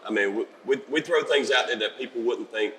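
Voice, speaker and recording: A middle-aged man from South Carolina, a country musician with a raspy voice, chatting easily and humbly in a festival interview. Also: mid-range voice, a little fast, fairly bright tone, long reverb tail, a little hiss or hum.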